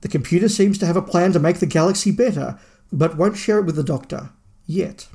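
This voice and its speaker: Male co-host for podcast plot recaps. Somewhat high-pitched voice, reading from a script. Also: brisk, warm tone. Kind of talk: scripted plot summary narration.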